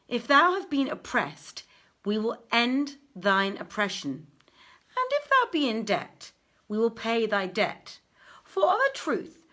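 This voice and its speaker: A woman's voice: on the high side, bright, forceful tone, voice pushed up, exaggerated voice. A woman voicing a curious, imperious yet generous young prince in a declamatory, archaic storybook reading. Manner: declamatory, emphatic.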